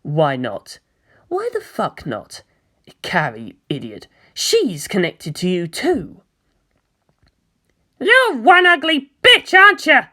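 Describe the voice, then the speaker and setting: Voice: medium pitch, wildly swooping pitch, slow pace, pauses often, sharp tone, pitched above the performer's own voice, exaggerated voice. A performer as a sardonic muttering young onlooker. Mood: mocking. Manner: muttering, profane, sarcastic.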